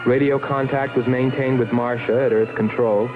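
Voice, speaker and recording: A man playing a haunted astronaut who narrates his voyage in a brooding, deliberate, dramatic 1960s film style. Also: medium pitch, fairly even intonation, natural voice. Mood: sober recounting. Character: haunted male astronaut recounting his voyage, wistful and obsessed. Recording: tinny old film soundtrack under loud music.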